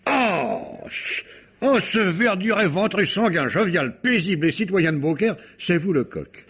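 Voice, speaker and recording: An actor in an old radio mystery playing a stern, skeptical examining magistrate, formal and exclamatory, quick to interrupt. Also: male voice, high-pitched, very animated, a bit bright, husky, full character voice. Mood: amazed, delighted. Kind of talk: old French radio mystery drama acting. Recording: muffled vintage radio recording.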